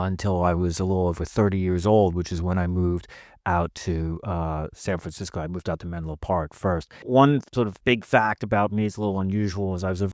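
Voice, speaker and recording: An American man in his fifties, a podcast guest telling his personal story conversationally in a halting, self-correcting way. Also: low voice, a little mellow, no echo, studio quality. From northeastern United States. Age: 50s.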